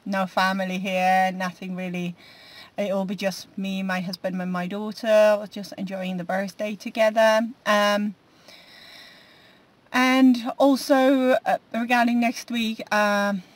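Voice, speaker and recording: A woman's voice: mid-range voice, little pitch movement, takes it slowly. A woman in her forties chatting casually to camera from inside a parked car, rambling with many fillers and restarts. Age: 40s. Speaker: vlogger. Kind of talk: casual food diary chat.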